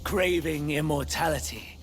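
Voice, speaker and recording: An actor playing a humble, fatalistic demon servant in a game cutscene, speaking formally. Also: male voice, on the high side, measured pace, over music, close to an everyday voice. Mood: solemn. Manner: formal, solemn, ends in a shouted chant. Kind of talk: video game cutscene acting.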